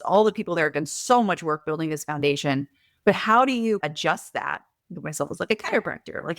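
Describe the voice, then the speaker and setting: Low-voiced woman, brisk conversational. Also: dry recording, studio quality. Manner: energetic, uses "like" and "right?" often. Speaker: guest mentor, e-commerce consultant. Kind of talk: conversational interview answers.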